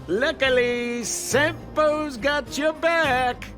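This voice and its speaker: A man's voice: extremely high pitch, very slow, fairly bright tone, faint music behind, heavily acted. A man playing a cocky, playful male rogue in a video game cutscene. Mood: smug, teasing.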